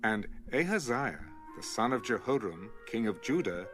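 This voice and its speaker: A man narrating an audio Bible in a measured, dramatic storytelling manner. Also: fairly low pitch, sing-song, fairly bright tone, soft background music. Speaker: scripture narrator.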